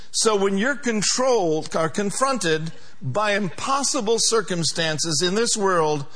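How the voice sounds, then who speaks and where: A man preaching a sermon as pastor, his voice pitched high and ringing bright. He drives his points with emphasis and calls on the congregation to answer back. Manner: emphatic preaching with call-and-response.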